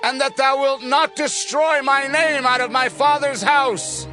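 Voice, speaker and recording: A man's voice: extremely high pitch, steady tone, measured pace, bright, forceful tone, soft background music, heavily acted. A man playing a remorseful, humbled king in a dramatized scripture recording, declaiming emotionally in archaic phrases.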